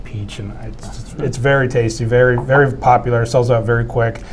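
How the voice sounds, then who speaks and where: A man in his thirties speaks in an upbeat, easy way, running through beer picks with the odd um. His voice sits at a middle pitch. Faint room noise hangs behind him. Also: steady tone. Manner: upbeat, quick recommendations, filler ums. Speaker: beer review co-host. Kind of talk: casual tasting chat. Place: office room with a TV screen, indoors.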